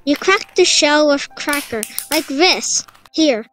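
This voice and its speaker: A woman's voice: high-pitched, lively intonation, takes it slowly, a bit bright, faint music behind, full character voice. A woman voicing a shy, flustered little boy in an anime fan comic dub, hesitant and stammering. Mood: explaining.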